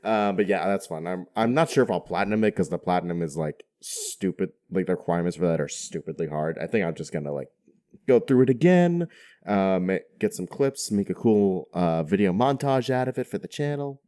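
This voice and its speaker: A man with a fairly low voice chats as a podcast co-host. His delivery is casual and slangy, with a jokey, giggly streak. It sounds like relaxed, unscripted talk between gamers. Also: a little fast. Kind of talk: casual video game chat.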